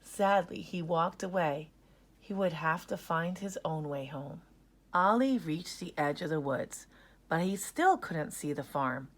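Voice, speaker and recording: A woman narrating a children's story in an expressive read-aloud style. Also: fairly low pitch.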